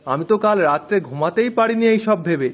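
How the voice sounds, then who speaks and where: A man's voice: sits high, lively intonation, fast. A man with a Bengali accent playing an anxious, earnest villager in a folk-tale drama. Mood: earnest, urgent. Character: anxious, civic-minded poor male villager in a folk tale.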